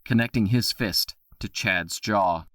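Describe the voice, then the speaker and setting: A male narrator with a lowish, bright, forceful voice. He reads the fight scenes of an audio drama in a steady, plain manner. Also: takes it slowly, slight background noise. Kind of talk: scripted narration of action.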